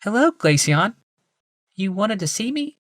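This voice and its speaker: A man's voice: big pitch swings, measured pace, fairly bright tone, no echo, clean studio sound, exaggerated voice. A man voicing a patient but exasperated wife in an audiobook, firm and pleading. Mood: impatient. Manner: high, pleading, strained patience.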